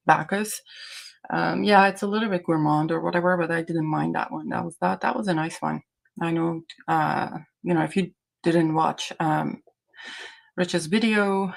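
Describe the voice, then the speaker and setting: Low-pitched woman, native Azeri speaker, gravelly creak, casual livestream host, rambling delivery. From Iran.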